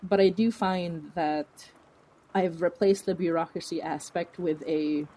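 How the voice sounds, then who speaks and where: Woman w a low voice, podcast guest, super informal, rambly n giggly. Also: fairly even intonation, a little slow, warm tone. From Philippines. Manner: giggly, laughs through speech, rambling and informal. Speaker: guest, horror fiction podcast creator. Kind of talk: casual interview chat.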